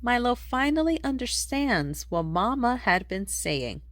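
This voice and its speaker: A woman reading a rhyming children's picture book aloud in an expressive storytelling manner. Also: a little high, unhurried, dry recording, clean studio sound. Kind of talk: read-aloud storybook narration in rhyme.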